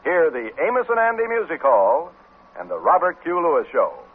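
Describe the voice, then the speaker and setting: A man's voice: on the high side, huge pitch swings, moderate pace, slightly rough. A man reading scripted promotional announcements in the upbeat, polished style of a 1950s radio announcer. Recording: muffled, narrow-band 1950s radio recording.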